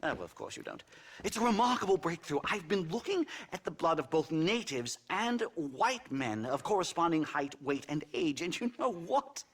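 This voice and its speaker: An eccentric academic for a video game. His high, husky voice swoops about as he stammers and flits between thoughts. He is pompous and condescending, always excitable. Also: normal speed, full character voice. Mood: condescending, excited. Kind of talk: theatrical video game acting.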